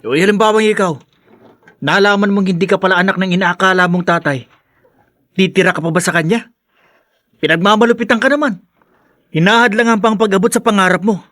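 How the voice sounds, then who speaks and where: A high-pitched young Filipino man, a protective and conscientious brother, as played by an actor. He reproaches earnestly in radio drama style, recorded dry and close. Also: fairly quick, pauses often, bright, forceful tone, dry recording. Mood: scolding.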